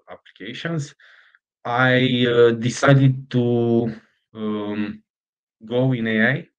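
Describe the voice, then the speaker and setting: A middle-aged man from Romania with a Romanian accent, a startup founder answering interview questions in halting speech full of fillers and restarts. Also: on the low side, lo-fi sound.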